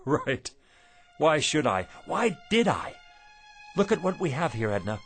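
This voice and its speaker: A man's voice: a little high, very animated, a little slow, creaky, soft background music, heavily acted. An actor playing an anxious, impulsive middle-aged shopkeeper in a radio drama, with an emotional everyman delivery. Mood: uneasy.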